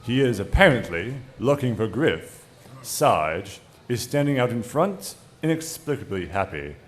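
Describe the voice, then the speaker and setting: A man's voice: mid-range voice, sing-song, unhurried. A man reading stage directions as narrator of a scripted comedy table read. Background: music.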